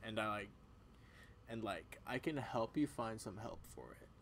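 A man voicing a protective, gently exasperated animal friend in casual video game dialogue. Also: lowish voice, expressive, measured pace. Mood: reassuring, hesitant. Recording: clearly audible background noise.

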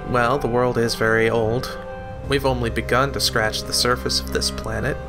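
A man playing a wry, skeptical lighthouse keeper in an audio drama, with dry, old-fashioned gentlemanly manners. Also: mid-range voice, flat delivery, moderate pace, close to an everyday voice. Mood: thoughtful. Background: music.

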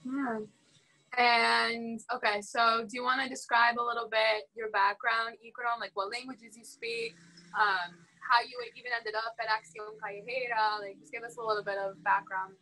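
A woman's voice: on the high side, little pitch movement, moderate pace, pushed and bright, rough recording. A woman from Chicago hosting a casual round table on a video call, upbeat and encouraging with her guests. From Chicago, United States. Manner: upbeat and encouraging, frequent "mm-hmm" and laughs. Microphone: laptop on a video call.

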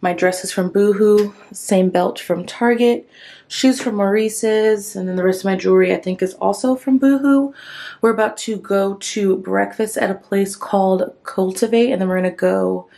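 A woman's voice: mid-range voice, steady tone, moderate pace, gravelly, warm tone. Chatty young woman vlogging a hotel tour. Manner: chatty, listing things offhand. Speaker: travel vlogger. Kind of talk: casual vlog tour. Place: hotel room, indoors. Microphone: phone held at arm's length.

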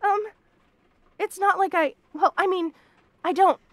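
Very high-pitched, flustered bunny girl. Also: unhurried, no room sound. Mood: flustered, indignant. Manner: stammering, flustered, protesting. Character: shy, easily flustered young bunny girl roommate. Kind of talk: romantic comedy audio roleplay, spoken to the listener.

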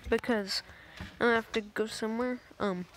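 A young woman casually commenting on a driving game, voice a little raspy from just waking up, rambling in a playful way. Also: on the high side, fairly even intonation, normal speed, a bit bright, slight background noise, rough recording. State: slightly raspy, just woken up. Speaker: gameplay video maker. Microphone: phone screen recorder.

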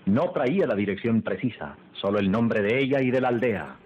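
Solemn melodrama narrator, scripted, mid-pitched, muffled old recording. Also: male voice, lively intonation, moderate pace, breathy edge. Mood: storytelling. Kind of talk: scripted radio drama narration. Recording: dull old radio recording with music.

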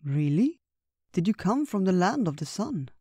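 A man voicing a kindly, wise old male bear who speaks gently and without hurry. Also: high-pitched, big pitch swings, breathy edge, dry recording, clean studio sound, voice pushed up, full character voice. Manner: gentle, unhurried, storytelling.

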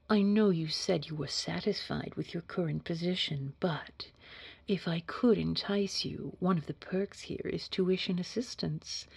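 Scary girl boss for an audio drama job interview played by a woman: deep for a woman, whispery husk, formal, quietly probing. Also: full character voice. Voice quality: breathy, husky, whispery. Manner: measured, formal, insinuating. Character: smooth, secretive, scary corporate woman boss interviewing a candidate. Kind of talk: scripted audio drama dialogue.

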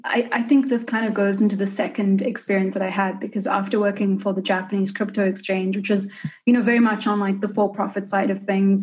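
A woman's voice: medium pitch, a little mellow. A young woman in her twenties with a South African accent, a guest chatting casually in an interview, who laughs easily. She talks into a desk microphone in a room at home. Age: 20s. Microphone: studio mic on a desk stand.

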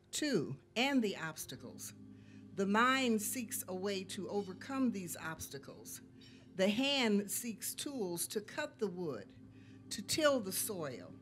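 An older woman recites verse with lively, moving intonation. She takes her time and stresses key words, over faint music. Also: medium pitch. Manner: deliberate, emphatic. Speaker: community poetry reader. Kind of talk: expressive poetry recitation. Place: indoor event room, at a podium. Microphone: podium microphone on a stand.

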